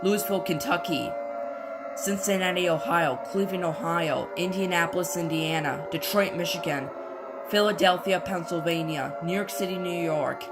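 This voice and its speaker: A woman reading a scripted emergency broadcast as an announcer, in formal, solemn, measured phrases. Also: on the low side, loud music behind the voice. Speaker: emergency alert announcer and scenario narrator.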